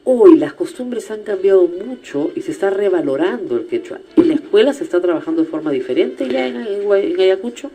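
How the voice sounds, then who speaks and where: A woman with a Peruvian accent hosting a radio interview, talking in an animated, emphatic and teasing way. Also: medium pitch, brisk, few pauses. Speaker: radio show host.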